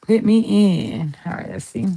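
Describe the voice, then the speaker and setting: A woman with a Southern US accent talks her way through a tarot reading in a loose, rambling, playful style. She chats casually as she pulls cards, mid-pitched and conversational. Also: unhurried, gravelly, noisy background. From Southern United States. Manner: chatty, rambling, playful and lively. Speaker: tarot reader.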